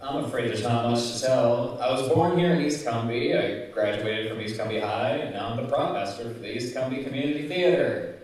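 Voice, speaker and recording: A man's voice: medium pitch, brisk, darkish tone, lightly acted. A man playing a naive, polite aspiring actor who works as prop master, in a live old-time radio comedy. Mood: resigned. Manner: polite, earnest, hapless. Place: community center stage, live performance. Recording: very echoey hall, far from mic.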